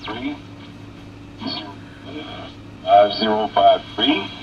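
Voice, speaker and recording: A man's voice: fairly low pitch, slow, muffled recording. A man reading a scripted announcement as a radio broadcaster, heard played back through a radio. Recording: distant noisy radio playback.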